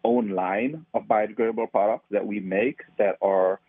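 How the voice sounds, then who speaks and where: A man's voice: fairly low pitch, moderate pace, husky, dry recording. A man from Trinidad with a Trinidadian accent, explaining his nonprofit work as an interview guest. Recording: tinny phone-like line, dry.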